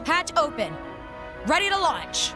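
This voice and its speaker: A woman's voice: high voice, very animated, a little slow. A woman acting a hot-tempered, bossy young villain's henchwoman in a video game cutscene. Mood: brisk. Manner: snappy, exclaiming. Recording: loud music behind the voice.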